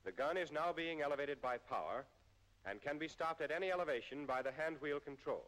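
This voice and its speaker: Higher-pitched American male narrator, reverberant film sound. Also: fairly bright tone. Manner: formal, measured, precise enunciation. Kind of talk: scripted military instructional narration, 1940s newsreel style.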